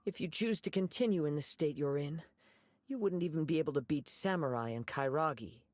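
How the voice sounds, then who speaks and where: A man voicing a calm, principled young wandering samurai in a video game, speaking in measured, formal, reflective sentences. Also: on the high side, a little hiss or hum, natural voice. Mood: calm, stern.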